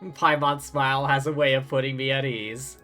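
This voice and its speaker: A man's voice: mid-range voice, level tone, normal speed, sharp tone. A man who streams games, reading dialogue and narration aloud dramatically, with casual asides. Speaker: game streamer. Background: game music.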